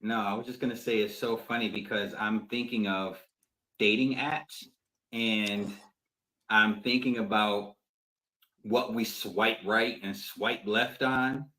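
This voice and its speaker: A man co-hosting a podcast in casual conversation, thinking aloud in a reflective, deliberate way. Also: fairly low pitch, fairly even intonation, sharp tone, low-quality recording. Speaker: podcast co-host.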